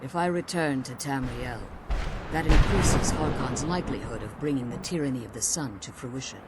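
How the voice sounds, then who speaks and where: Over very loud background noise, an ancient vampire mother speaks in formal, measured phrases. She is a stern and protective video game character, guarded and eloquent. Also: very deep voice. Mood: solemn, protective. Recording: very loud battle-like noise behind.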